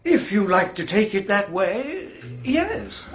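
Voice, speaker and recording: A man's voice: high voice, very animated, takes it slowly, roomy sound, muffled recording, faint music behind, heavily acted. A man playing a defensive, haughty British husband under suspicion in a radio drama, clipped and indignant. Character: stiff, defensive, haughty husband suspected of poisoning. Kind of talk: formal radio drama dialogue.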